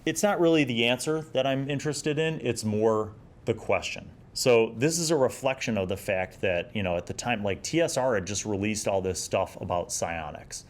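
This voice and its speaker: Low-voiced man in his forties, casual reviewer. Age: 40s. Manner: conversational, halting, nostalgic. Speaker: host of a gaming magazine review series.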